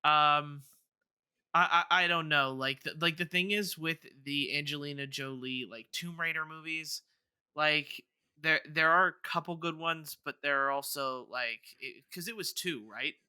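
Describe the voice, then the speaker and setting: A man's voice: on the high side, measured pace, sharp tone, smooth, no room sound, studio quality. A man co-hosting a podcast in casual chat, thinking aloud with hesitant starts and repeated words. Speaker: podcast co-host. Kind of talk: casual chat, debating video game movies.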